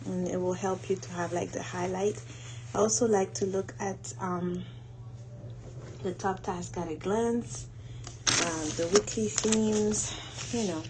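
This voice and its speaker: A woman talking casually and haltingly as she thinks aloud through her planning in an audio log. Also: medium pitch, fairly even intonation. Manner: unhurried, halting, thinking aloud while doing tasks. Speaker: host of a planning audio log for a women's group. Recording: noticeable background noise.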